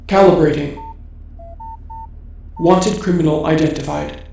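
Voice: mid-range voice, fairly even intonation, a little slow, creaky, darkish tone, heavy reverb, a little hiss or hum. A performer voicing a cold, procedural security droid in a sci-fi audio drama, clipped and mechanical, through robot processing.

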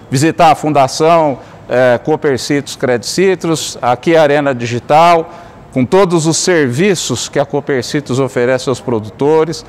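Middle-aged man calmly walking through a demo. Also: on the high side. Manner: steady explanatory tone, narrating each click. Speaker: company executive demonstrating a digital fair. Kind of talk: product walkthrough. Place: large indoor store.

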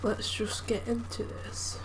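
A woman talking casually over gameplay, soft-spoken and hesitant, with lots of ums and groans. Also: slow, poor audio. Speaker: gameplay commentator. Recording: loud background noise from the game. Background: game sounds.

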